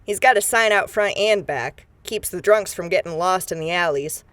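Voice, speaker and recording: A woman's voice: on the low side, normal speed, fairly bright tone, no echo, natural voice. An actress playing a chatty, folksy, suspicious townswoman in a post-apocalyptic audio drama. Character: Tammy, chirpy, nosy, suspicious townswoman.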